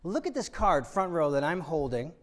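Energetic man giving a motivational speech. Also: fairly high pitch, moderate pace, slight background noise. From New England. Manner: animated, emphatic, pacing the stage. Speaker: keynote speaker. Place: large auditorium stage.

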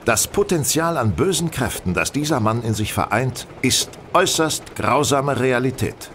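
Radio play lead: actor as male sleuth, medium pitch, precise and formal, very varied melody, slight rumble underneath. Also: lively intonation, moderate pace, a bit bright, natural voice. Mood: insistent. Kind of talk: German radio play dialogue. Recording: train rumble behind the voice.

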